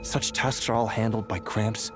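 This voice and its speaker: A man's voice: fairly low pitch, steady tone, normal speed, close to an everyday voice. Man playing an earnest young squad leader over music. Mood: serious. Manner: earnest, friendly, explanatory. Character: earnest, dutiful young male squad leader of a guard order. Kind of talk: English video game character acting. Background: background music.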